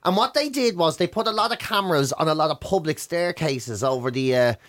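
Irish male radio host, high-pitched voice, mocking comic banter, repeats words for effect. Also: expressive, brisk, a bit bright. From Ireland.

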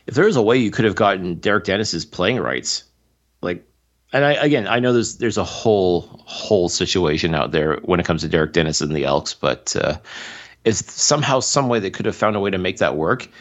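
A man's voice: low-pitched, expressive, brisk, muffled recording. A man from Montreal co-hosting a casual sports podcast, talking at length with opinionated, analytical takes on football. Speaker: podcast co-host.

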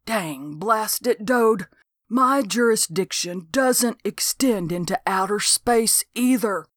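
A woman voicing a gruff, work-shy county lawman with a folksy, exasperated drawl. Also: high voice, takes it slowly, a bit bright, dry recording, studio quality, voice pushed up. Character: gruff, lazy small-town county lawman.

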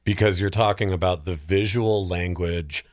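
A man with a low voice chats on a podcast about films and games. He is emphatic and opinionated, speaking casually. The sound is dry, with little room in it. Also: moderate pace, no room sound. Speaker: podcast panelist. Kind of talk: casual film and game discussion.

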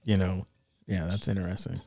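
A man co-hosting a podcast, asking easygoing questions and trailing off softly. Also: low-pitched, little pitch movement, a little fast, creaky. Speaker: podcast co-host. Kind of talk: casual podcast chat.

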